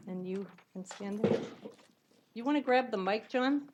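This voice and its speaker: A woman presiding at a city board meeting, giving gentle, halting ceremonial remarks in a council chamber. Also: fairly high pitch, background noise.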